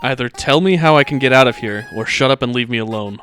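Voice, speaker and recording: A man playing a tall, irritable young man in an anime fan drama, speaking in a gruff, blunt, belligerent manner. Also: medium pitch, fast talker, fairly bright tone, lightly acted. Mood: irritated. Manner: blunt, curt, surly. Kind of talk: anime fan fiction character acting. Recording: music behind the voice.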